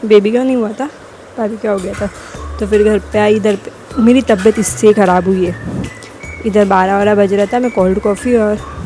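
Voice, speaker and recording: Young woman, hoarse, rambling vlog voice-over, warm mellow tone, faint background music. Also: mid-range voice, poor audio. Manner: rambling, self-correcting narration. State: sore throat, coughing. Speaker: vlogger.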